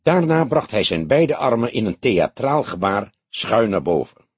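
Husky, expressive male audiobook narrator. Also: fairly low pitch, dry recording. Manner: expressive, deliberate storytelling. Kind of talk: scripted literary narration of a detective novel.

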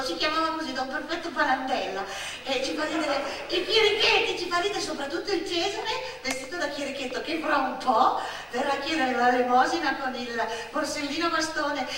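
A woman voicing a cheeky, excitable little village girl in a stage monologue, repetitive and irreverent, with a northern Italian accent. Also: high voice, fairly quick, a crowd in the background, close to an everyday voice. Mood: giggly, gleeful. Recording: echoey hall, distant mic, music behind.

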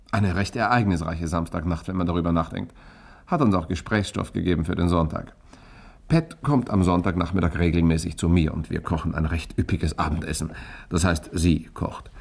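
An actor playing a wry, easygoing amateur sleuth in a 1960s German radio crime drama, with an unhurried, bantering way of talking. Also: male voice, low-pitched, gravelly, a little mellow, breathy edge, full character voice.

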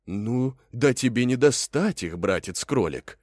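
A man's voice: medium pitch, lively intonation, measured pace, a little mellow, no room sound, clean studio sound, pitched above the performer's own voice. A man voicing a trusting, slightly grumbling mother cow in a fairy tale, with plain, homely replies. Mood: placid. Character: slow good-natured mother cow, trusting, grumbling a little.

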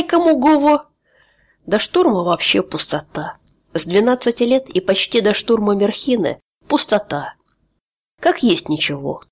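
A woman reading a fantasy audiobook aloud in a steady, expressive way. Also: medium pitch. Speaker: audiobook reader.

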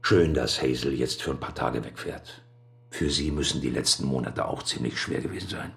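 A man's voice: very deep voice, steady tone, moderate pace, vocal fry, fairly bright tone, heavily acted. An actor playing a smug, scheming male friend who speaks in an insinuating, mocking way in a radio crime drama. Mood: sly.

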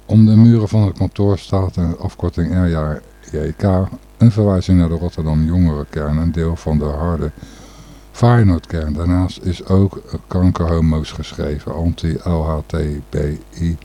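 A man hosts an informal news talk show. His voice is very deep and heavily dark, with a husky edge. He rambles, searches for words and freely gives his opinion. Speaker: radio and podcast host. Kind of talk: informal radio commentary on news.

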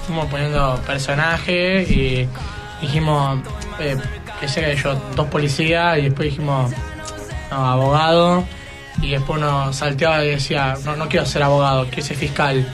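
A man's voice: on the high side, fairly even intonation, normal speed, music in the background. A teenage boy with an Argentine accent giving casual answers as a student guest on radio.

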